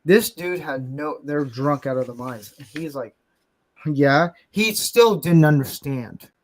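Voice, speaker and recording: Bantering man in his thirties, higher-pitched voice. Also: lively intonation, slow. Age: late 30s. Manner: informal, bantering, occasionally swearing. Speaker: livestream host. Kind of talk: casual livestream chat.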